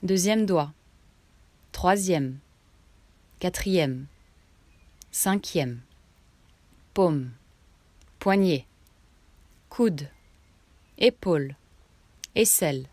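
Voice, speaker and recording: A woman guiding a relaxation meditation, giving instructions in short phrases with pauses between them. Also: lowish voice, dry recording. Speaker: yoga nidra meditation guide.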